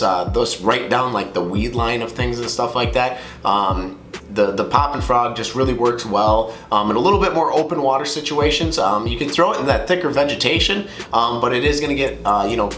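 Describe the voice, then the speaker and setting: Nasal man with a cold, roomy sound. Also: mid-range voice. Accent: Upper Midwest American. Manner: relaxed rambling, many "um" and "uh". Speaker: fishing video host. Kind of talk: casual how-to talk to camera.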